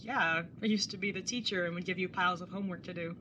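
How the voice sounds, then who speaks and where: A woman plays a casual young older sister in an audio drama. Her voice is a little low yet bright, with blunt, dry, teasing delivery. Faint rumbling noise runs underneath. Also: fairly even intonation, fast talker, poor audio. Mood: amused, embarrassed. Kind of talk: naturalistic audio drama dialogue and first-person narration.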